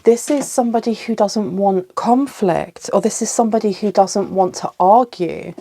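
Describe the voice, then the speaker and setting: A woman's voice: medium pitch, moderate pace, mellow. A woman giving a solo tarot reading, musing aloud and asking the cards for clarity, with a slight cough and sniffles. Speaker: tarot reader.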